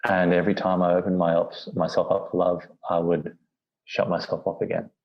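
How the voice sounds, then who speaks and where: A man speaking as a podcast guest, talking candidly and haltingly, often restarting his words. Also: deep voice, completely flat delivery, gravelly, highs cut off. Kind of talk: candid personal conversation.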